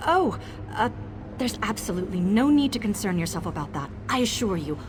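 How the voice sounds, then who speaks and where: A woman's voice: mid-range voice, sing-song, brisk, noisy background, close to an everyday voice. A woman voicing an eager, boastful young female mage tinkerer in a video game, dramatic and nervously evasive. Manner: dramatic, self-congratulating, hesitant when cornered. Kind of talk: video game character dialogue.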